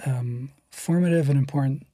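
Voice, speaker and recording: A guest in their thirties from Lebanon, speaking slowly and thoughtfully, with a stutter that often shows as silent pauses. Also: mid-range voice, mellow, husky, dry recording. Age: 30s. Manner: slow, measured and thoughtful. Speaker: guest, poet and writer. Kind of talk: reflective interview conversation.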